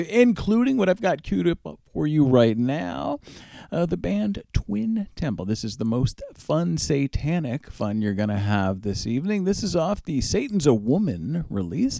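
A man's voice: on the high side, vocal fry, dark tone, dry recording, studio quality. A man hosting a music radio show, chatting casually between songs in an enthusiastic, playful manner.

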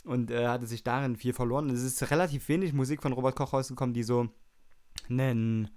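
A man's voice: mid-range voice, fairly even intonation, brisk, a little mellow. A man with a Saxon German accent hosting a music radio show, chatting loosely with many fillers. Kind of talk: casual radio presenting.

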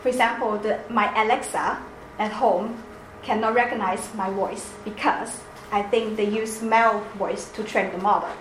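A woman with a Chinese accent giving a seminar presentation, halting with many restarts and fillers. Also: medium pitch, steady tone, fairly bright tone. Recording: echoey room, faint background noise.

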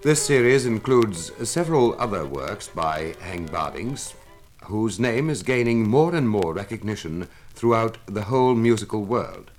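Formal 1950s radio announcer, lively male intonation. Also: on the low side, soft background music. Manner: formal, measured presentation. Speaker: announcer of a classical music demonstration record. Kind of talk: scripted 1950s radio narration. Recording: 1950s radio transcription disc.

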